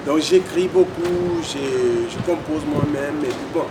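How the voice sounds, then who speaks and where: A man's voice: a little high, a little slow. A young man from Chad, a guest musician, answering interview questions in a hesitant way with many fillers. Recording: loud background noise.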